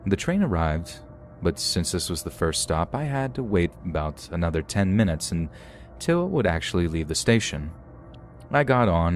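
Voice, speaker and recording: A man narrating a scripted first-person horror story in a steady, even read. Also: sits low.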